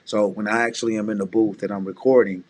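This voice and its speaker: A man with a deep voice, a music producer casually talking beginners through mixing, often saying "you know what I'm saying". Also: little pitch movement, quick pace, no room sound. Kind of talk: casual screen-share tutorial.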